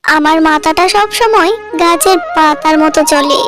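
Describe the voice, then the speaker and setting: A woman's voice: sits high, a little slow. A woman voicing a greedy, scheming pregnant stepmother who talks in a haughty, demanding way in a cartoon. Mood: smug scheming. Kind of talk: cartoon voice acting. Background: loud music.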